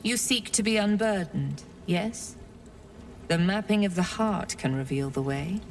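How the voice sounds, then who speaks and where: A woman playing a stern, superior priestess interrogator in a fantasy game, measured and condescending. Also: medium pitch, animated. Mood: solemn. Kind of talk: video game voice acting. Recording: slightly distant, faint background noise.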